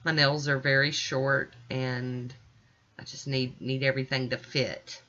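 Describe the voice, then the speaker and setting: A woman's voice: very deep voice, little pitch movement, unhurried, sharp tone, muffled recording. A middle-aged American woman giving a chatty, folksy and informal voice-over as a nail art vlogger. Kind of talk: casual tutorial voice-over.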